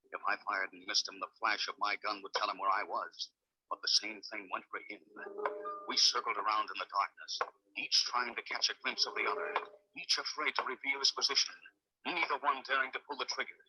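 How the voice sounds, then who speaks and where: A man's voice: on the low side, fairly even intonation, a little fast, noisy background, natural voice. An actor playing a tough, determined police detective in a 1940s radio serial, clipped and commanding as he acts and narrates. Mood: tense. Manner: clipped, commanding, hard-boiled. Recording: old radio broadcast recording.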